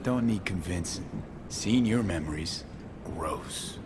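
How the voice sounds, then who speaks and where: Game lead: stubborn young American street mercenary, low-voiced, terse, gruff, noisy surroundings. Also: male voice, lively intonation, slow pace. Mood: irritated, wary. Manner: terse, blunt, clipped sentences. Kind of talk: cinematic video game acting.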